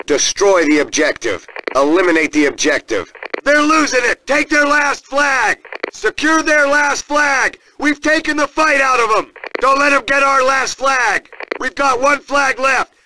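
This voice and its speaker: An actor playing a fierce American Marine sergeant, barking commanding announcements in a military video game. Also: male voice, extremely high pitch, lively intonation, a little slow, sharp tone, a little hiss or hum, full character voice, poor audio. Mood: clipped, commanding. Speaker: multiplayer announcer.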